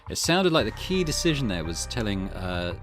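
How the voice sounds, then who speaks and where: Male gaming host, low voice, casual and hesitant commentary, clear music underneath. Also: big pitch swings, normal speed. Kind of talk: casual let's play commentary with read-aloud game text. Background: game music.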